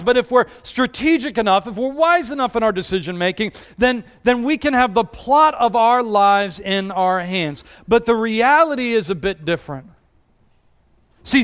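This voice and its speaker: A man's voice: high-pitched, fairly bright tone, clear, resonant tone. A man preaching a conversational sermon to a church congregation, often asking the audience questions. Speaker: pastor.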